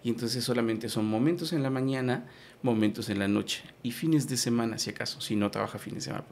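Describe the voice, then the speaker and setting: A man's voice: medium pitch, brisk, a little mellow, slight background noise. A man from Yucatán with a Yucatecan Spanish accent and Maya as his first language, explaining patiently in an interview, with many fillers and restarts. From Yucatán, Mexico. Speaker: guest. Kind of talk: conversational interview.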